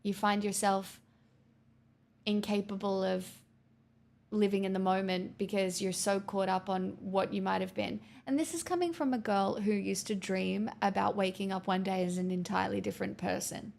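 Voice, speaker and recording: A woman's voice: medium pitch, fairly even intonation, normal speed, vocal fry, a little mellow. A woman live streamer chatting casually and candidly with viewers, answering their messages with frequent restarts and the odd swear.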